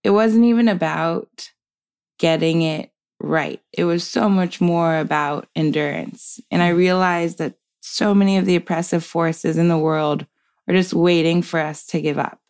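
Slow-spoken reflective young woman. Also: lowish voice, steady tone, clear, resonant tone. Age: 20s. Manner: thoughtful, measured, with soft laughs. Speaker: podcast guest. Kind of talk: reflective conversational interview.

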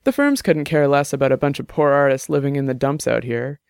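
A man's voice: medium pitch, fairly quick, creaky, darkish tone, clear, resonant tone, no room sound, studio quality, natural voice. A man voicing an easygoing young male artist who teases his friends, in an audiobook. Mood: teasing. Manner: easygoing, teasing.

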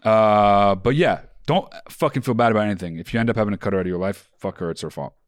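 Blunt, profane man giving slow advice. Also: lowish voice, no echo. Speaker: podcast co-host. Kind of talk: casual podcast advice.

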